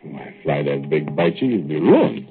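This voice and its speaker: A man's voice: rumbling low, sing-song, vocal fry, soft background music, exaggerated voice. An actor playing an easygoing small-town American working man in a 1940s radio drama, plain-spoken and folksy as he talks and narrates. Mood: casual, teasing. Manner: folksy, colloquial, unhurried storytelling. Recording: noisy, muffled old radio recording.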